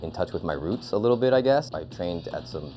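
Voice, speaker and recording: Deep laid-back man chef over music. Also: expressive, brisk, warm tone. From Southern California. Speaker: chef and restaurant owner. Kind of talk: interview soundbites. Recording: music bed under voice.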